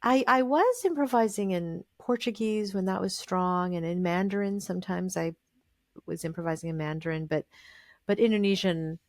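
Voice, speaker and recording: Low-voiced woman musing in a dry room. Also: normal speed, a little mellow, no room sound. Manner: thoughtful, meandering, with many fillers and restarts. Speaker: guest musician. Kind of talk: casual interview conversation.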